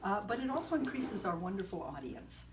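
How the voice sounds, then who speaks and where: A woman soprano and opera director chatting in an interview, lively and laughing often. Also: mid-range voice, fairly quick. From partly Caribbean. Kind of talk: casual interview chat. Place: indoor concert venue. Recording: distant mic, noisy room.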